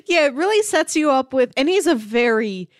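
A woman's voice: sits high, animated, normal speed, no room sound, clean studio sound. A woman co-hosting a film podcast, chatting casually in a conversational way. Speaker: podcast co-host. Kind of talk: casual film discussion chat.

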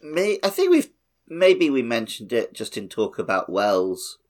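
A man chatting casually about a video game as a podcast co-host, measured and questioning. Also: medium pitch, sing-song, a little mellow.

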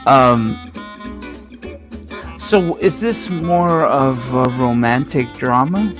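A man's voice: medium pitch, animated, extremely slow. A man hosting a casual, conversational radio show. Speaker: host. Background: guitar music.

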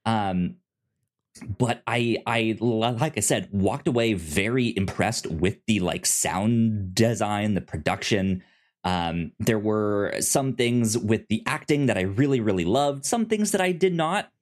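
A man hosting a casual review podcast, informal and halting, stammering over the starts of words. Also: fairly low pitch, expressive. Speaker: podcast host.

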